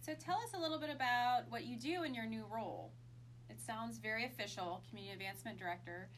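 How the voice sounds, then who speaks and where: A woman host with a somewhat high voice opens and steers the chat in a bubbly, rambling way. She leans on fillers and likes. A faint hum sits underneath. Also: quick pace, a bit bright. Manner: bubbly, chatty, filler-heavy. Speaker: podcast co-host. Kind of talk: casual interview chat.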